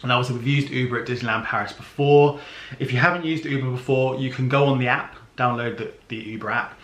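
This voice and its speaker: Vlogger: man, mid-pitched, chatty and explanatory, roomy echo. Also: fairly even intonation, fast talker, fairly bright tone. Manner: chatty, explanatory, many ums. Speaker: travel vlogger. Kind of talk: casual planning chat. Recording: echoey room.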